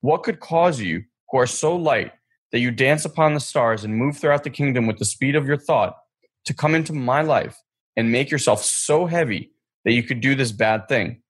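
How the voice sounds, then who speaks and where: A man voicing a small, innocent and eager soul in a storybook reading, with a gravelly, husky voice. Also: mid-range voice, moderate pace, lightly acted. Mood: wondering.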